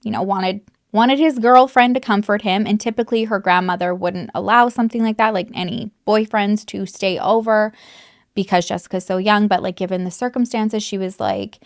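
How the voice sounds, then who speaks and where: A woman's voice: mid-range voice, steady tone, normal speed, dry recording, studio quality. A woman recounting a true crime case in a casual, chatty way, full of fillers and the odd swear word. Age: adult mother.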